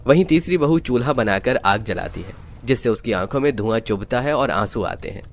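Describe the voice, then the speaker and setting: A man's voice: mid-range voice, animated, fairly quick, a little hiss or hum. A man reading scripted narration for a story.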